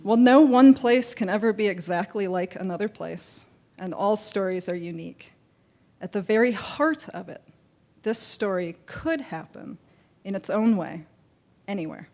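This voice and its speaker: A woman on a conference panel talks into a handheld microphone, her voice slightly hoarse. She tells a story in an earnest, deliberate manner. Her pitch stays in a middle range for a woman. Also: animated, a little mellow. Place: conference stage, seated. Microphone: handheld mic.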